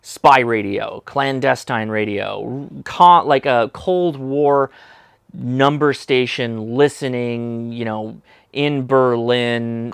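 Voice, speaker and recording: A man's voice: medium pitch, vocal fry, fairly bright tone, clear, resonant tone, no room sound, clean studio sound. An American man in his thirties presenting a casual product review to camera in an enthusiastic, emphatic way. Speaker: host of a ham radio review channel. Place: small indoor workshop room. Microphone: lapel mic.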